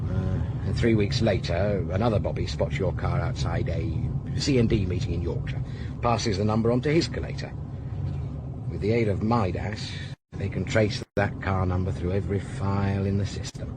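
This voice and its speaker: A man's voice: low voice, normal speed, close to an everyday voice. An actor playing a wry, world-weary, middle-aged police detective in a naturalistic radio drama, musing and hesitant as he talks. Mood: patient, wry. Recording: loud car and vehicle noise behind.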